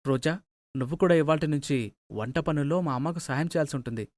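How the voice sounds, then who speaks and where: A man playing a mild young husband, reasoning and gently reproachful, in an animated moral story. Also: on the high side, fast talker, dry recording, close to an everyday voice.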